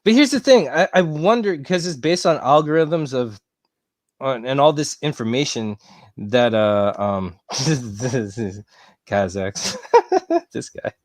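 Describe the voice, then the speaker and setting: A man hosting a podcast in casual chat, excitable and quick to exclaim. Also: medium pitch, dry recording, studio quality. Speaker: podcast host.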